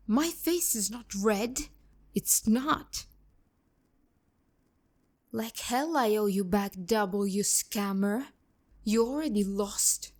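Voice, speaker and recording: Casting: actress as taunting female demon for romantic ASMR roleplay, unhurried and dark-toned, sharp tongue, breathy whispered asides. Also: mid-range voice, natural voice. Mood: flustered, annoyed. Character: haughty, teasing, flustered female demon, bitter romantic rival. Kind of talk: ASMR roleplay, intimate character acting.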